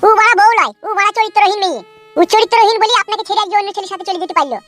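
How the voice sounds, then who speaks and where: A woman's voice: very high-pitched, rapid-fire, fairly bright tone, no echo. A woman playing a young village daughter in a comic cartoon, plain-spoken and insistent as she consoles and scolds.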